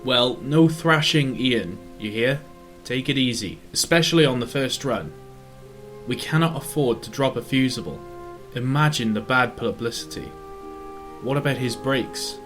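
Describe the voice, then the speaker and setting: A man's voice: mid-range voice, animated, unhurried, a bit bright, faint music behind. A man voicing an irritable, proud railway owner in an audio drama, blustering and exasperated. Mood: stern.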